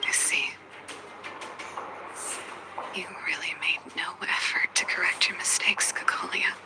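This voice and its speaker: A woman playing a cool, candid, world-weary fighter in a video game, with a breathy voice. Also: moderate pace, in a whisper, natural voice. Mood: weary, resolved.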